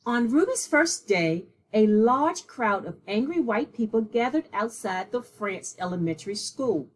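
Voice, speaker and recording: A woman with a slightly husky voice reading a children's picture book aloud in a measured, deliberate way. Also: mid-range voice, low-quality recording. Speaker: read-aloud storyteller.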